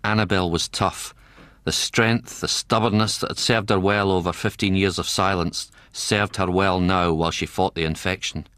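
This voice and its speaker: Low-voiced senior Scottish doctor. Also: male voice, steady tone, moderate pace, fairly bright tone, smooth, no room sound. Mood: measured, sombre. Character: kindly, brisk senior Scottish doctor, wry storyteller. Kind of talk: radio drama narration and acting.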